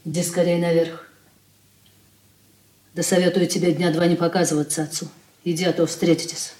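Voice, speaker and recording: Woman acting stern careworn sister, lowish dark voice, bit noisy radio drama. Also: little pitch movement, normal speed, close to an everyday voice. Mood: urgent, reproving.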